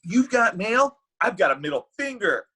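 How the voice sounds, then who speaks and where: A man plays a heartbroken love god in a comedy script read, bitter and defensive. His voice is high and swoops widely, with an exasperated, indignant edge and a rough grain. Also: very animated, normal speed, pushed and bright, full character voice. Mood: bitter, outraged.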